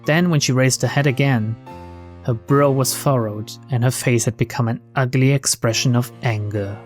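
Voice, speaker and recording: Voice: medium pitch, steady tone, normal speed, faint music behind. A let's player reading visual novel narration aloud, breaking off for informal, sweary asides. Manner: sweary, informal reactions between read lines.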